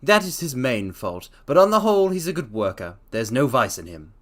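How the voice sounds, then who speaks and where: A man's voice: medium pitch, very animated, normal speed, a bit bright. A rambling middle-aged shopkeeper, read by a man, tells his troubles in an earnest, slightly aggrieved way. His voice rises and falls widely as he goes. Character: middle-aged male pawnbroker, plain and rambling.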